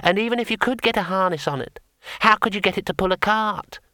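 A small, gentle imp with a high, odd, slightly unreal voice that explains things slowly and patiently, as if stating plain facts, voiced by a man reading a storybook. The sound is bright and dry, close to the mic. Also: dry recording.

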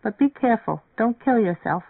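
A tired young woman in an audiobook, as a narrator acts her out, pitched up into a girlish, plaintive register. She murmurs wearily and restlessly through a narrow, radio-like band with very little room sound. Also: animated, brisk, creaky, husky, no room sound. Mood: weary. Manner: weary, murmuring. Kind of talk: audiobook character dialogue.

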